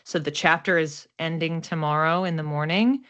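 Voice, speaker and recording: A woman's voice: sits low, fairly even intonation, normal speed, a bit bright, smooth, dull audio. A woman giving a casual, unscripted card and astrology reading, thinking aloud in a reflective, conversational way. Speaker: oracle card reader and astrology host.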